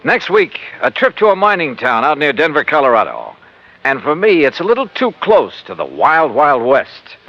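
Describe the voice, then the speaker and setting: Muffled, animated 1950s radio detective. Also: male voice, fairly high pitch, moderate pace, exaggerated voice. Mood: easygoing. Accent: American. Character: tough wry male freelance insurance investigator. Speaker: lead actor and narrator. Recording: muffled old radio recording with music.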